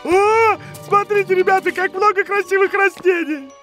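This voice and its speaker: A woman voicing a big, friendly, excitable male cartoon bear in a dubbed children's series, with an exclamatory, enthusiastic manner. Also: very high voice, moderate pace, music in the background. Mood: excited, wistful. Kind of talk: animated children's series dubbing.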